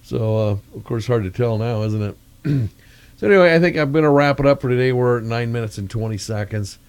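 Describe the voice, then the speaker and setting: A man's voice: medium pitch, fairly quick, a little mellow, clean tone. An older man talking casually in a meandering video diary, often searching for words. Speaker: vlogger. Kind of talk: casual rambling vlog talk.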